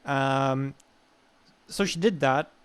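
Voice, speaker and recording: A man's voice: mid-range voice, extremely slow, slight background noise. A young man with an Irish accent telling personal stories in a casual, informal, sweary chat as a podcast guest. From Ireland.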